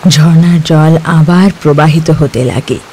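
A man narrating a cartoon folk tale in a steady storytelling voice. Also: a little high, a little slow, a little hiss or hum.

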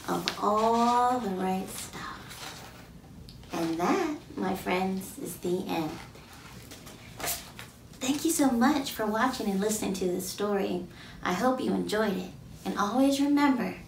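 A woman's voice: mid-range voice, creaky. A woman in her thirties reads a picture book aloud to children, expressively, stretching key words and asking her young listeners questions. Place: small plain indoor room. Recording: roomy, distant mic, faint background noise.

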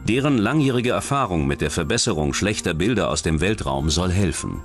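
Low-voiced male true-crime narrator. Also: animated, fairly quick, faint music behind. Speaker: documentary narrator.

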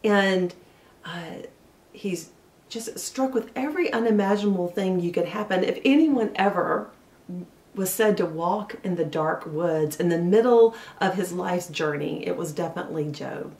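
A woman in her forties preaching a sermon from her living room, telling the story earnestly and slowing down for emphasis. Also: on the low side, a little mellow. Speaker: pastor. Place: living room at home, indoors. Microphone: camera a little way off, seated facing it. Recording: roomy sound from a distance.

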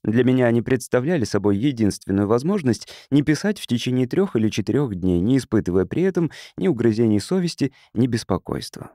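Male narrator for literary audiobook; mellow, animated intonation, measured storytelling, dry close mic. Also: mid-range voice, no room sound. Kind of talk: scripted literary narration, reading a science fiction story.

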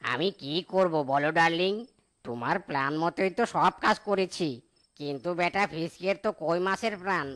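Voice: mid-range voice, normal speed, fairly bright tone, natural voice. A performer voicing a cowardly, hapless male lover in a cartoon, complaining and comically bewildered. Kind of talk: cartoon voice acting. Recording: faint music behind the voice.

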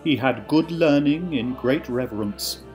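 A man's voice: medium pitch, unhurried, a little mellow. A man giving a formal ceremonial reading of an old text. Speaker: college official giving a reading. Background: music.

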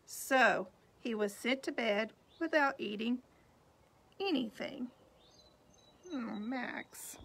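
Female storybook reader, slightly high voice, very slow delivery, expressive, for a young audience. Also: creaky, husky. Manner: expressive, unhurried, pointing things out to children. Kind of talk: picture-book read-aloud for young children with asides about the pictures.

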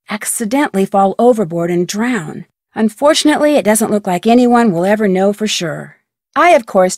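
An actress playing a young woman detective who narrates her case in a measured, articulate, scripted game voice-over. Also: mid-range voice, no echo, studio quality, lightly acted.

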